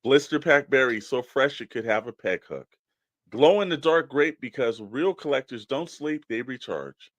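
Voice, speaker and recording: A man's voice: medium pitch, animated, normal speed, fairly bright tone, no echo. A man co-hosting a radio-style show in a folksy, rambling, chatty way, with casual joking delivery into a close mic. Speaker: co-host reading weather segment.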